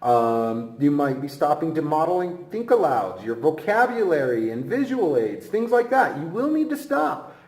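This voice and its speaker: High-voiced, animated male teacher in echoey room. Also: moderate pace. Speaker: teacher giving a training lesson. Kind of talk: instructional presentation.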